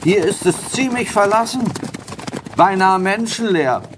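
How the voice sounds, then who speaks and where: A man acting a learned, curious but wary gentleman in a German horror radio drama, speaking in measured, formal, old-fashioned diction. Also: sits high, lively intonation, noisy background. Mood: grave narration.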